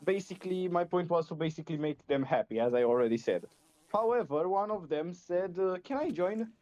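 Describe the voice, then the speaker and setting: A man from Romania chatting casually in an online voice call, often confused and halting, with many fillers. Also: high-pitched, lively intonation, a little mellow, lo-fi sound. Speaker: voice chat participant. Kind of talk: casual group chat.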